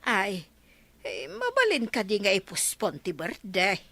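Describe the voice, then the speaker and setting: A woman's voice: mid-range voice, huge pitch swings, unhurried, pushed and bright, no echo, heavily acted. A woman playing a dismayed village auntie in a comedy radio drama, with an Ilocano accent. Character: village auntie dismayed over a cancelled birthday.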